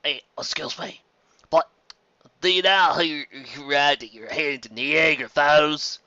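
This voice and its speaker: A man's voice: fairly high pitch, unhurried, pushed and bright, no echo, muffled recording. A man voicing a grizzled old male pony, a folksy and knowing tavern drinker in a storybook. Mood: curious, knowing.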